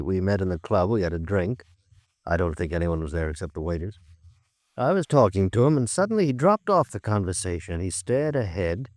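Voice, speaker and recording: An actor playing a big, middle-aged, graying doctor, measured and reflective, in a 1950s American radio drama. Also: male voice, lowish voice, darkish tone, no echo, clean studio sound. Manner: measured, thoughtful, educated phrasing.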